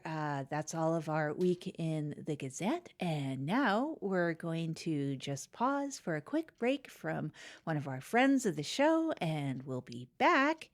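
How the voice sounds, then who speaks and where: A woman's voice: on the low side, lively intonation, slow pace. A woman playing a supportive, earnest small-town public-access host in improvised comedy, with a folksy, reassuring manner. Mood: announcing. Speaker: podcast host. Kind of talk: improvised comedy podcast banter.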